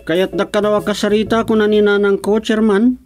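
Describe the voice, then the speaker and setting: A woman's voice: mid-range voice, flat delivery, fairly quick, over music, close to an everyday voice. A woman playing a sullen, stubborn schoolboy with an Ilocano accent. The delivery is radio comedy drama, troubled and boyish. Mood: uneasy.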